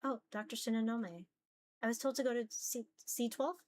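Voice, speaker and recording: A woman's voice: a little high, moderate pace, a little mellow, close to an everyday voice. A woman voicing a young university student, casual, hesitant and slightly defensive, in a visual novel. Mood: polite.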